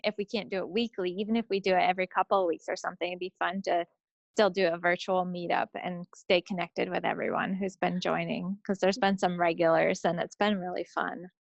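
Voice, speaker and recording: A woman's voice: on the low side, a little fast, vocal fry, dry recording. A woman chatting casually as a friendly podcast co-host, speaking unscripted on slightly lo-fi audio. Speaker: co-host of a knitting and crafts podcast.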